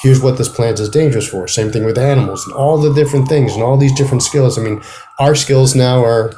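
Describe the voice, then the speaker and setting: A man speaks as a guest in a casual interview over a video call. His voice sits at an average pitch. He is thoughtful and halting, leaving sentences unfinished as he works through what he means. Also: dark tone, slight background noise.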